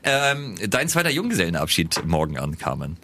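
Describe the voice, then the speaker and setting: Bright, lively young man joking around. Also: low voice, moderate pace, a little hiss or hum. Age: young adult. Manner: jokey, rambling, stumbles over words. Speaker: podcast co-host. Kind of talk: casual chat.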